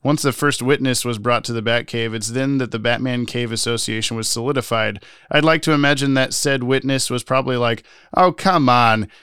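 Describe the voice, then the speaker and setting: Male podcast host, medium pitch, smooth and clear, casual joking delivery, dry recording. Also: brisk, few pauses, pushed and bright, no echo. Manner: jokey, rambling. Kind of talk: casual chat, reading out listener mail.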